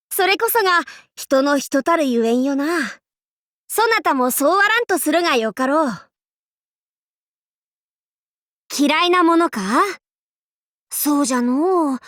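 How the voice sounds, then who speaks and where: A woman's voice: high-pitched, a bit bright, no echo, studio quality. A woman playing a proud, boisterous warlord in an anime game, with pompous, playful, archaic lordly speech. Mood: haughty, musing. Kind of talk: anime game character voice lines.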